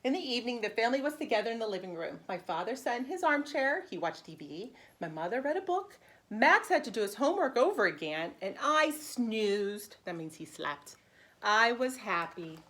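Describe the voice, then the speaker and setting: A woman preschool teacher reading a storybook aloud in an animated, simple, child-directed way with expressive emphasis. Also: a little high, moderate pace.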